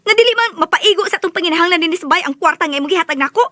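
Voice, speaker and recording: A woman's voice: extremely high pitch, expressive, very fast, bright, forceful tone, no room sound, studio quality, heavily acted. An actress playing a pleading, nagging young mother-to-be in a Bisaya radio drama. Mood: nagging, angry. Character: pregnant young woman, pleading and nagging live-in partner.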